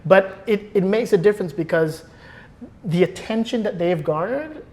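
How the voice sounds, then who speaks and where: Man giving thoughtful, halting interview answers. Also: sits high, lively intonation, darkish tone. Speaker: interview guest, film producer.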